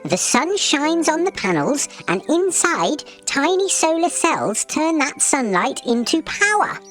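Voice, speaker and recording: Storybook magical bug, male, little and cheerful: high-pitched, wildly swooping delivery, chirpy explainer, music underneath. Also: sing-song, measured pace, fairly bright tone, exaggerated voice. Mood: chirpy, excited. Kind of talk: children's storybook character voice.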